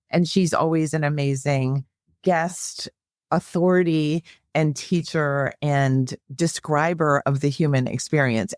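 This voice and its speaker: A woman psychologist hosting a podcast, introducing her guest in a measured, affirming way. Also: sits low, dry recording, clean studio sound.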